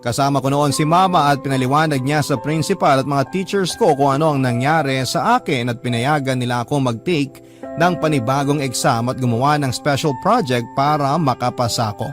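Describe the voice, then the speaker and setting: Filipino man narrating over clear background music. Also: medium pitch, a little fast. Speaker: radio drama narrator. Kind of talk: scripted first-person story narration. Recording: music bed behind narration.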